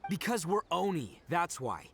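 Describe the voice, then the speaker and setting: An actor playing a brash, big-hearted young oni gang boss in a video game, cocky and earnest. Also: male voice, fairly high pitch, big pitch swings, normal speed, vocal fry, fairly bright tone, slight background noise, exaggerated voice. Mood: sincere.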